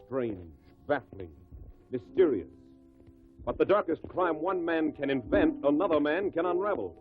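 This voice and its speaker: A man's voice: on the high side, lively intonation, normal speed. A man announcing a 1950s radio drama in a solemn, portentous, theatrical style. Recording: tinny old radio recording with music.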